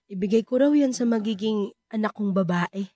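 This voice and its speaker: A woman acting an anguished, guilt-ridden mother in a Filipino radio drama, with a Filipino accent and halting, repetitive delivery. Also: mid-range voice, warm tone, no echo, studio quality, close to an everyday voice. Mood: hesitant, remorseful. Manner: halting, repeating words, tearful.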